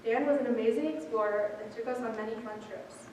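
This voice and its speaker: A woman's voice: fairly high pitch, fairly quick, a little mellow. A young woman reading a prepared eulogy as a granddaughter at a memorial service. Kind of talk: prepared memorial speech, read aloud. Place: large reverberant hall at a memorial service. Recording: echoey hall, distant mic, noisy.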